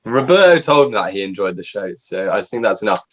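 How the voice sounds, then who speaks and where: A young man with a fairly low voice co-hosts a relaxed livestream chat. He speaks calmly and deliberately, giving steady advice. The audio is dull and lo-fi. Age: young adult. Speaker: co-host.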